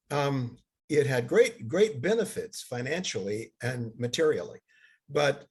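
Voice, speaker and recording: An older man who grew up in Bangladesh, giving a halting seminar lecture over slides, with many fillers and restarts. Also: mid-range voice, a little mellow, slightly rough.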